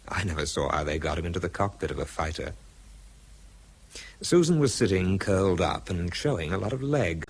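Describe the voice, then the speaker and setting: Deep-voiced gruff, weary Englishman. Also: full character voice. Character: Sammy, gruff troubled wartime man, weary and sardonic. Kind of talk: British radio drama, first-person narration and dialogue.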